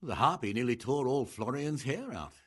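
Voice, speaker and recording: A man's voice: medium pitch, expressive, moderate pace, fairly bright tone, breathy edge. An actor playing a pompous, sardonic medieval master craftsman in a game, speaking in wordy, arch phrases. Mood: sardonic, exasperated. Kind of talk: scripted game dialogue acting.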